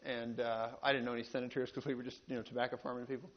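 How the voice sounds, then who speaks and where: A man with a Southern American accent and a mid-pitched voice speaks to an audience in a folksy, storytelling way. He goes haltingly, repeating words as he finds his way. The live recording sounds dull and band-limited. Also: flat delivery. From rural North Carolina, United States. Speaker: invited panel speaker.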